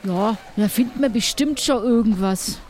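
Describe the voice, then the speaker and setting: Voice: medium pitch, moderate pace, a little mellow, slight background noise, natural voice. A performer playing a helpful, chatty ship's medic in improvised audio role-play, rambling colloquially. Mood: casual, helpful.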